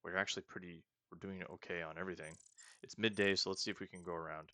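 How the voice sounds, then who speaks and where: A man hosting a casual let's play, reading game text aloud and thinking out loud as he goes. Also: low voice, steady tone, fast, no room sound, clean studio sound. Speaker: gaming host.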